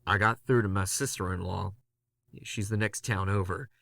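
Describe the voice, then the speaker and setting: Male actor as haunted confessor, sharp forceful tone, intense, anguished, halting, dry close recording, horror audio drama. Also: low voice, steady tone, vocal fry, no echo. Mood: shaken, grim. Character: Robbie, haunted, panicked man recording his confession on tape. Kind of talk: first-person horror audio drama monologue.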